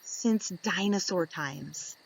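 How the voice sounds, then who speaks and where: A woman reading a picture book aloud to young children, expressive and instructive, putting questions to her listeners and answering them. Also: fairly low pitch, takes it slowly, vocal fry, breathy edge, rough recording.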